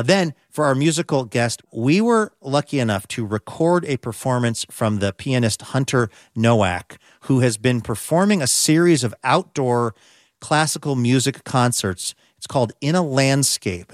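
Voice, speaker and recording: A man's voice: mid-range voice, no room sound, studio quality. A middle-aged American man, presenting a radio show and interviewing guests as its host. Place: on stage at a theater.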